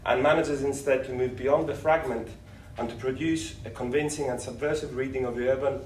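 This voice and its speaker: A man's voice: medium pitch, fairly even intonation, a little fast, darkish tone. A man hosting an academic event, delivering a prepared, eloquent and emphatic formal introduction of a speaker. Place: indoor university lecture event. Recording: echoey room, distant mic, background noise.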